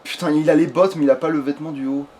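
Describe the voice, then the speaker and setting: Higher-voiced man swearing through game commentary. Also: steady tone, rapid-fire, a little mellow, slight background noise. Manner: sweary, rambling. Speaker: let's player. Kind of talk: casual gaming commentary.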